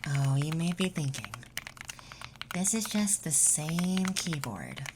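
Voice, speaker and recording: A flirty, teasing emo femboy classmate character in an ASMR roleplay, speaking softly and breathily close to the mic and often dropping into a whisper. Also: mid-range voice, sing-song, background noise. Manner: teasing, flirty, unhurried with pauses. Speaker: ASMR content creator performing a roleplay. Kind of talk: ASMR roleplay, intimate soft-spoken and whispered. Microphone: close ASMR microphone.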